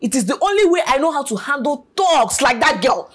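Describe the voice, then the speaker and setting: A woman playing a hot-tempered, shouting young woman. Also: high voice, sing-song. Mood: angry. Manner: shouting, rapid and combative. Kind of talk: web drama acting.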